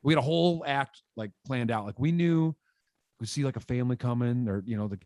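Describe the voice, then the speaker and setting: A man in his thirties from Michigan tells stories of his early years as a musician, talking in loose, rambling bursts full of fillers. His voice sits at an ordinary middle pitch, close and dry on a boom-mounted studio mic in a small room at home. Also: a little fast, no room sound. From Michigan, USA. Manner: animated, rambling, lots of "like" fillers and gestures. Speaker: guest musician. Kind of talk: casual interview storytelling. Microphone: studio mic on boom arm, video call.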